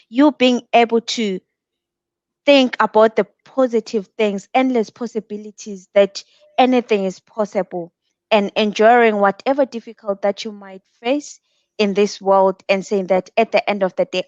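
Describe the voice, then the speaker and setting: A young woman from Zimbabwe giving earnest, measured reflections as a guest speaker at an online event, pausing between thoughts. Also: mid-range voice, no room sound. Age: young adult. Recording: dull, dry lo-fi sound.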